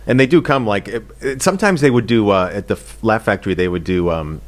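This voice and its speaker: A man's voice: lowish voice, expressive, fast talker. A middle-aged American man chatting casually as an interview guest, telling anecdotes and acting out quotes with loose, swearing speech. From United States, Boston area. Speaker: stand-up comedian, interview guest.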